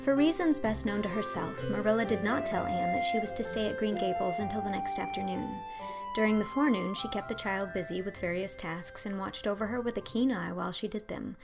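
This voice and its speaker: A woman's voice: medium pitch, fairly quick, loud music behind the voice. A woman reading scripted storybook narration for an audiobook.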